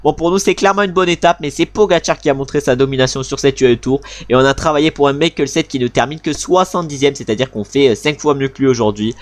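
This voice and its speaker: A man's voice: medium pitch, fast, few pauses. A French man giving casual, chatty commentary while playing a cycling video game, with frustrated asides about his team leader. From France.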